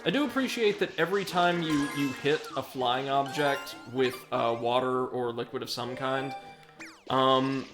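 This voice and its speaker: A man's voice: medium pitch, measured pace. Rambling American man streaming a game. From United States. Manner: rambling, self-deprecating, punning; reads out chat messages. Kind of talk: casual live commentary while gaming. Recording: game music underneath. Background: video game music.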